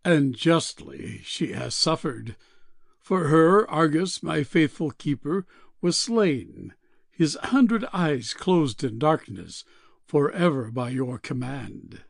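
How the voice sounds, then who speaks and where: A man plays an aggrieved, proud queen of the gods. The delivery is gravelly and very slow, slightly high, with bitter stately declamation for an audio play. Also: lively intonation, no echo, studio quality, heavily acted. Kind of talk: amateur audio play reading.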